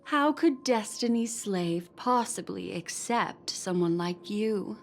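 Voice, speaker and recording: A voice actress playing a composed, wary female warrior in a video game, measured, cool and pointed. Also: medium pitch, lively intonation. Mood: suspicious.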